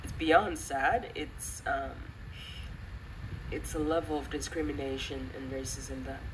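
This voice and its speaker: Young adult woman, low voice, earnest halting commentary, recorded off-mic with clear noise. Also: fairly even intonation. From Western country, of Rwandan descent. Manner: earnest, reflective, halting with pauses. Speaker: channel host giving commentary. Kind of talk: personal spoken commentary. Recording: distant mic, clearly noisy background.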